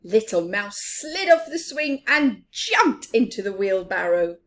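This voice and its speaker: A woman's voice: mid-range voice, very animated, measured pace, a bit bright. A woman hosting storytime, reading aloud expressively to young children and adding chatty asides. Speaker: storytime host.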